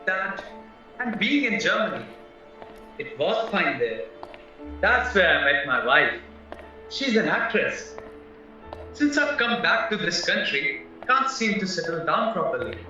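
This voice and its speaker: A man's voice: high-pitched, big pitch swings, unhurried, pauses often, pushed and bright, heavily acted. A man acting a nervous, naive young drifter in a radio play, chatty, polite and hesitant. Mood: earnest, defensive. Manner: anxious, polite, hesitant, rambling. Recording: roomy, distant, muffled, music underneath.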